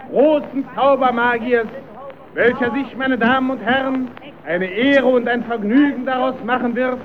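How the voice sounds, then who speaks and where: An actor playing a grandiose, wheedling fairground barker in an old radio play, declaiming pompously. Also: male voice, extremely high pitch. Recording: tinny old radio recording with crowd noise.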